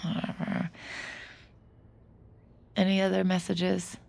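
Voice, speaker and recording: A woman's voice: lowish voice, monotone, takes it slowly, gravelly, a little mellow, exaggerated voice. A woman playing a guarded, sardonic young mind reader in an audio drama, speaking in a curt, weary, dry way. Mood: groggy, irritable. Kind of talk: audio drama dialogue and confessional narration.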